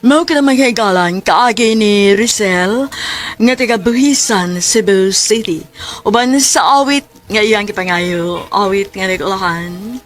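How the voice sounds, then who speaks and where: A woman's voice: mid-range voice, a little slow, faint music behind. A woman with a Cebuano accent giving scripted narration as a radio drama announcer.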